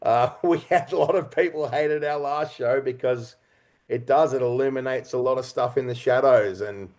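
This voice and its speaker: A man speaking as an interview guest, earnest and reflective, explaining his creative work in halting, conversational phrases. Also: mid-range voice, darkish tone. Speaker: interview guest, writer-director and actor.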